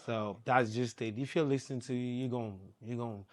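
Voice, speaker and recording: A man's voice: mid-range voice, steady tone, normal speed. A young Nigerian man with a Nigerian accent, a musician chatting as an interview guest and leaning on lots of fillers. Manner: rambling, many fillers like "you know". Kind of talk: casual interview chat.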